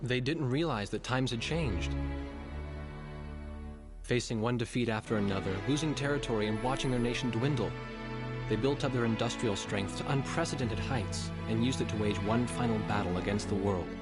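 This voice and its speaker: A man playing a reflective war photojournalist, narrating past events in a measured, somber way for a cinematic game. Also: medium pitch, natural voice. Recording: music behind the voice.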